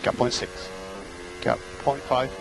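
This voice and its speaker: A man's voice: mid-range voice, slow pace, creaky, noisy background. A man working as a race engineer, giving terse, clipped instructions and data over motor racing team radio. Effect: team radio transmission.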